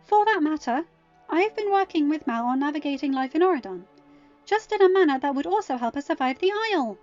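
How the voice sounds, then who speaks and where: A woman's voice: high voice, lively intonation, fairly quick, darkish tone, voice pushed up. A woman voicing a fierce, protective mother in an audio story, speaking firmly and with indignant emphasis. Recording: dull lo-fi audio. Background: faint music.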